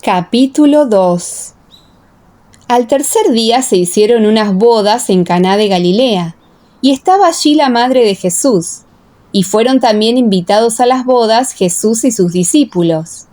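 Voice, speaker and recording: A woman's voice: on the high side, expressive, measured pace, mellow. A woman narrating a dramatized Bible audiobook in a solemn, carefully phrased way.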